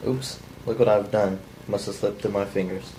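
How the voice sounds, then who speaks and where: A man's voice: on the low side, moderate pace, a little hiss or hum, natural voice, rough recording. A man reading the part of a menacing, bitter drifter in an unpolished script table read. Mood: sly menace. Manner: flat, unpolished amateur line reading. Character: menacing, bitter, down-on-his-luck male drifter intruder.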